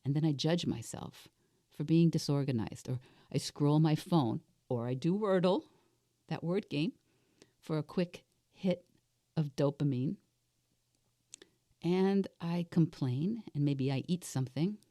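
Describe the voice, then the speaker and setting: A woman in her sixties speaks in a low, soft-edged, raspy voice that sounds a bit under the weather. She thinks aloud like a life coach, deliberately posing questions to herself and answering them. The recording is close and dry. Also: dry recording. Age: 60s. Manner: deliberate, reflective, asks and answers own questions. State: unwell with a cold-like illness. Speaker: life coach hosting a solo podcast.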